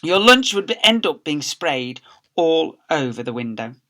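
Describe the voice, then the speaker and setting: A man's voice: on the high side, big pitch swings, a little slow, bright, forceful tone. A man, a teacher, reading a storybook aloud to young children with playful, theatrical emphasis.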